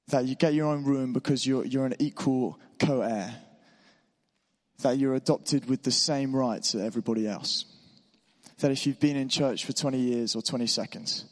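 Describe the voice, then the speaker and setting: A man preaching a sermon in church, encouraging and informal, his voice a little hoarse from being unwell. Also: medium pitch. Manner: warm, encouraging, informal with asides. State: slightly hoarse voice. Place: church hall. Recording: long hall reverb.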